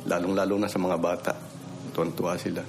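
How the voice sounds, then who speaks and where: A man's voice: sits low, flat delivery, fast, creaky, darkish tone, soft background music. A man in his fifties with a Filipino accent, giving a plain-spoken, conversational personal testimony and repeating phrases for emphasis. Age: 50s. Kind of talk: personal testimony, informal storytelling. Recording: noisy field recording with ambient sound.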